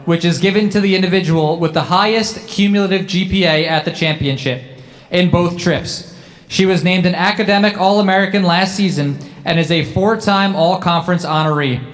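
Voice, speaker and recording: A man presenting at an awards ceremony, reading a formal introduction in a measured, announcing way. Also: high-pitched. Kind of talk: formal introduction read aloud.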